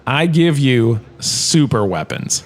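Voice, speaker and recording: A man's voice: medium pitch, sing-song, takes it slowly, full character voice. A man playing a vain, bumbling washed-up star turned history lecturer in a comedic audio drama, with a theatrical, rambling, self-important delivery. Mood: grandly dramatic.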